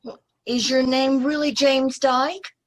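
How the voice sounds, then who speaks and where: Radio play ingénue played by a woman: young, earnest, hesitant and courteous, raised pitch, rough-edged tone. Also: level tone, takes it slowly. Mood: nervous, hesitant. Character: earnest, anxious, polite young woman seeking her lost brother. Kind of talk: amateur old-time radio play acting.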